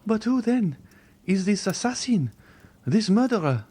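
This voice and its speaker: A man's voice: sits high, big pitch swings, measured pace, warm tone, husky, pitched above the performer's own voice, exaggerated voice. A man voicing a frightened, sickly young noblewoman who pleads in breathless exclamations.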